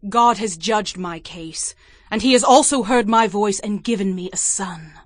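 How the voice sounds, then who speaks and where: An actress playing an envious, determined younger sister and wife in a dramatized scripture reading, with emotive, emphatic delivery. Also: female voice, medium pitch, a little slow, dry recording, studio quality, lightly acted. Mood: triumphant.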